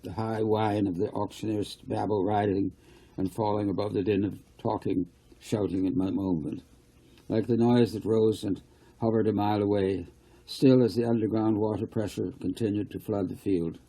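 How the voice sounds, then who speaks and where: Husky, low-voiced man narrating a story. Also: fairly even intonation, normal speed, warm tone, rough recording. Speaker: author reading own novel. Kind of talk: scripted audiobook narration.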